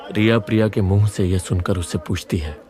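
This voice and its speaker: A man's voice: lowish voice, steady tone, brisk, a little mellow, a faint crowd. A man reading scripted narration for a moral story as its narrator.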